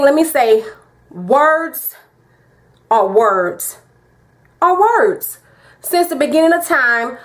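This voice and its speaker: Southern US woman vlogger, slightly high voice, emphatic, repeats her points, direct address to camera. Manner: emphatic and repetitive, talks straight to viewers. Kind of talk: casual opinion vlog.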